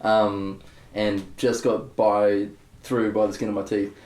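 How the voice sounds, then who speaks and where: A young adult man, a bass player talking candidly in a casual interview, rambling with many fillers and restarts. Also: little pitch movement, slow, a little mellow. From Australia. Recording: echoey room.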